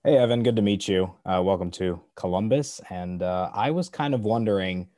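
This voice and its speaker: A man's voice: lowish voice, normal speed, dark tone, no room sound, clean studio sound. A man working as a reporter who asks a friendly, long, rambling question at a press conference.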